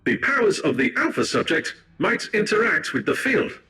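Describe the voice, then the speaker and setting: A man's voice: sits high, big pitch swings, moderate pace, noisy background. A man voicing a formal machine servant in a video game, giving terse technical reports. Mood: analytical. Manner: formal, terse, emotionless wording. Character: analytical machine servant assisting an inquisitor. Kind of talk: clipped technical report delivery. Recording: game audio with music behind.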